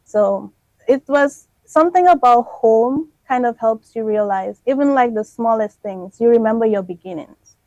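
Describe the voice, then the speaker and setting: A young woman speaks in a fairly high voice, close and dry on the mic. She is a radio interview guest, reflective and quick to laugh as she talks about her roots. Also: no room sound, dull audio. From Dominica. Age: 20s. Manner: warm, reflective, laughs often.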